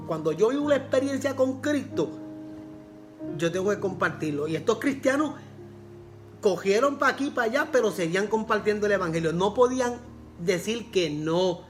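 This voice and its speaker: Pastor, man with a Puerto Rican accent, earnest repeating sermon delivery, faint music bed. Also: sits high. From Puerto Rico. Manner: repeats phrases for emphasis, earnest preaching.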